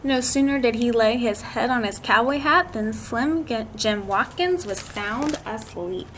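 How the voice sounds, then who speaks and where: Woman storyteller for children, slightly high and unhurried, expressive with conversational asides, clear background noise. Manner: expressive, drawn-out reading, talking to young listeners. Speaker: read-aloud storyteller. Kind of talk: picture-book read-aloud for children with chatty asides. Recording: clearly noisy amateur recording.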